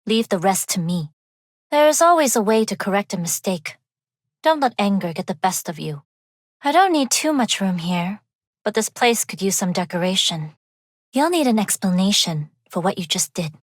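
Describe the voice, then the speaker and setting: Game role: refined young female underworld heiress, composed, formal, quietly dangerous; mid-range pitch, close dry sound. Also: big pitch swings, moderate pace, no room sound. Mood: quietly menacing, then calm, cordial. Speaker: video game voice actor. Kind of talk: scripted game character voice lines.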